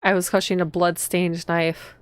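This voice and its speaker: A woman's voice: lowish voice, little pitch movement, measured pace, clear, resonant tone, no echo, clean studio sound, close to an everyday voice. A woman voicing a shaken young noblewoman who tells her story in a dramatic first-person visual novel reading. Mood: horrified.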